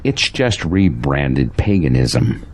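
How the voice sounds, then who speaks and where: Very deep-voiced, earnest pastor played by a man. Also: sing-song, slow, slightly rough, exaggerated voice. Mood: grave, disapproving. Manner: earnest, preachy. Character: devout, troubled male pastor, earnest and convicted. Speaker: actor in an audio drama. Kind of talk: earnest audio drama dialogue.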